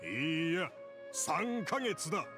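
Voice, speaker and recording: A man's voice: on the high side, big pitch swings, unhurried, music in the background. A man voicing a blunt, exasperated, scolding colleague in an anime game. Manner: blunt, scornful. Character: exasperated, scolding male colleague of an old scholar. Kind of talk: Japanese anime game voice acting.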